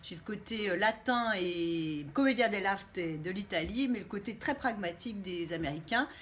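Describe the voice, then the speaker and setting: A woman's voice: mid-range voice, normal speed. A middle-aged woman, a guest coach talking expressively in a casual live stream. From Canada (Montréal), Italian heritage. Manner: expressive, playful, laughs easily. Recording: faint background noise.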